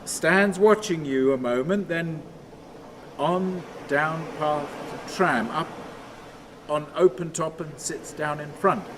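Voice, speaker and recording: An actor playing an anguished, haunted man in a radio play, halting and volatile as he pleads and broods aloud. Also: on the high side, background noise. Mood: brooding, muttering. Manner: halting, volatile, erupting from muttering into cries.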